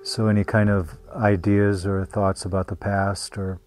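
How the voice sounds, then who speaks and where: Low, even-voiced man guiding meditation. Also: soft background music. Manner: gentle, unhurried with long pauses. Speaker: meditation teacher. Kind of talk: guided meditation. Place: meditation retreat.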